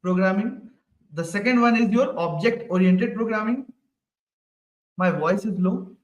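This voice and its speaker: A high-pitched man runs a live online coding class. He keeps asking the class questions and tags "right?" onto his sentences. His voice sits a little away from the mic and rings in an echoey room. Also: measured pace, distant mic, muffled recording. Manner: repeats questions to the class, ends lines with "right?". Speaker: programming instructor.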